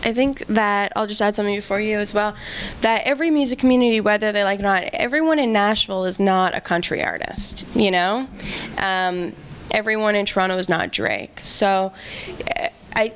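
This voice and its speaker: Smooth-voiced woman teaching a workshop. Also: medium pitch. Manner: thinks aloud with fillers and restarts. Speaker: seminar instructor. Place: seminar room.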